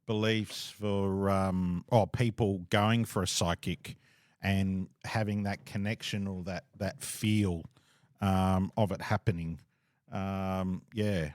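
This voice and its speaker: A man hosts a relaxed podcast conversation over a call. His voice sits on the low side and sounds dry and close, and he rambles through fillers with frequent laughter. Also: takes it slowly, dry recording. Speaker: podcast host. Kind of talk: casual interview chat.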